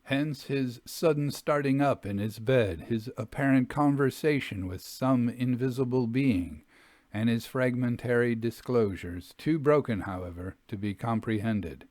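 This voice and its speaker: A man hosting a story podcast, speaking in a measured, deliberate way as he reads and comments on literary tales. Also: medium pitch, a little mellow, no echo. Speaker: host and story reader.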